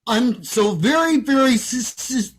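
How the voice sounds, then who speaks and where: Woman acting a touchy, blustering dimwit tough guy, highish voice, very lively swings, stage comedy. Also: measured pace, breathy edge. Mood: struggling, strained. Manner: blustering, defensive. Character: dim, hot-headed, touchy male thug posing as intellectual.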